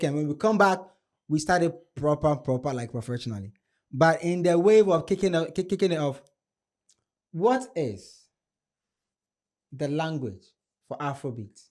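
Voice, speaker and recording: A man's voice: on the high side, animated, fairly quick. Hyped man hosting a panel. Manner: hyped, shouting out and pressing questions. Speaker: podcast host. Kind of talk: casual panel talk. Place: studio with woven cloth backdrop. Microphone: studio mic on a stand.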